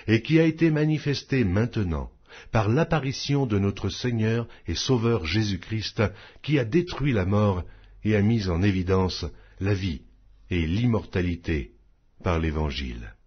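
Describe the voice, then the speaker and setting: A man narrating a Bible audiobook in a solemn scripture-reading style, with measured, declamatory phrasing. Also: fairly low pitch, husky, highs cut off.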